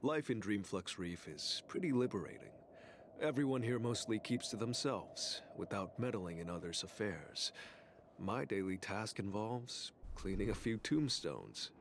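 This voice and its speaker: A man playing a polite, unassuming gravekeeper in a video game, speaking in a formal, measured way. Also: on the low side, lively intonation, slight background noise. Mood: courteous, calm. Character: polite unassuming male gravekeeper of a dream refuge. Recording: game music behind the voice.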